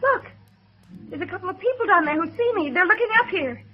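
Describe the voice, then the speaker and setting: A woman's voice: extremely high pitch, lively intonation, quick pace, soft background music. An actress playing a desperate, distrustful young woman in a 1950s radio drama, tense, defiant and emotional. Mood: bitter, defiant. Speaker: radio actor. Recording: muffled old radio recording.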